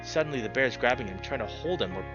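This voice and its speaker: A man's voice: medium pitch, expressive, very fast, highs cut off, music in the background. A man narrating a visual novel in a dramatic, immersed storytelling way, as a player reading aloud.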